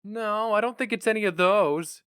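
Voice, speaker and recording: A man's voice: high voice, normal speed, a bit bright, clear, resonant tone, no room sound, clean studio sound. A man voicing an earnest, anxious young male office employee, polite and tentative, in a story reading. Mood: flustered.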